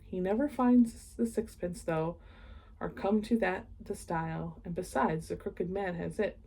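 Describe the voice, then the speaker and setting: A woman reading a children's picture book aloud, expressive in the story and chatting casually and enthusiastically in asides. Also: fairly low pitch, unhurried. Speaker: read-aloud host.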